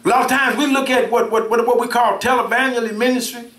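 A middle-aged minister preaching a sermon, with call-and-response, repeated words and swings between shouts and soft asides. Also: male voice, very high-pitched, steady tone, fast talker. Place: church sanctuary, indoors, at a pulpit. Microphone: pulpit mic from a far camera. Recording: roomy church sound from far off.

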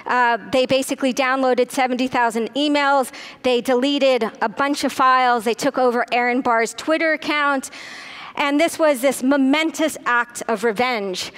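A middle-aged woman giving a conference keynote lecture on a hall stage, telling the story briskly with frequent ums and uhs. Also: high voice, steady tone, a bit bright, clean tone. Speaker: keynote speaker.

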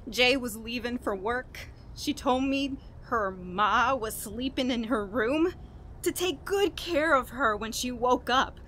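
An actress playing a guilt-ridden, unraveling woman in a radio horror play, telling her story in a halting, dramatic way. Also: on the high side, a bit bright, a little hiss or hum. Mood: tense, recounting. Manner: halting, repeating words, breaking into nervous laughs and gasps. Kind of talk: dramatic first-person horror monologue in old-radio style.

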